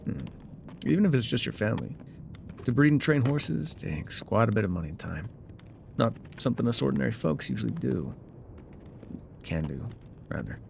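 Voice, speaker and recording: An actor playing a gruff, wry loner guide in an ASMR roleplay, with a dry, sardonic, unhurried delivery. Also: male voice, mid-range voice, sing-song, darkish tone, a little hiss or hum. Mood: dry, curious. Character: gruff, wry loner man guiding a rider on foot.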